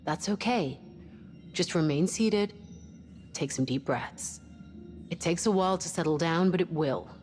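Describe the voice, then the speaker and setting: An actress as a ship's doctor with a low female voice, steady and reassuring, with a teasing streak. Sci-fi game drama, ringing with long reverb over faint music. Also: unhurried, pauses often, lightly acted. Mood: calm, reassuring. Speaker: actor in a video game. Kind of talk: cinematic sci-fi game acting.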